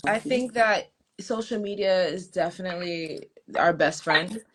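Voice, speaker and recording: A woman's voice: on the low side, measured pace, background noise. Chatty young woman, easy laughs. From United States. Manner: chatty, laughs easily, thinks aloud with ums. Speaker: soca artist guest. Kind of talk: casual interview chat.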